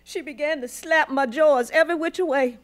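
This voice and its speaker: A woman's voice: high-pitched, moderate pace, lightly acted. An actress playing a weary, proud old Southern grandmother who was once enslaved, telling her story in heartfelt folksy dialect for a radio drama. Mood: pained, intense.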